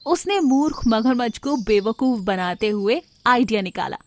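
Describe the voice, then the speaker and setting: A female storyteller narrates a scripted moral tale for children. Her voice is somewhat high for a woman. The delivery is read-aloud storytelling. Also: animated, moderate pace, darkish tone.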